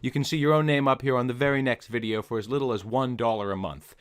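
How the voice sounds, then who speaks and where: A man's voice: mid-range voice, fairly even intonation, quick pace, clean tone, no echo, clean studio sound. A man hosting a story reading channel, speaking informally and conversationally straight to his viewers. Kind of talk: casual channel outro addressed to viewers.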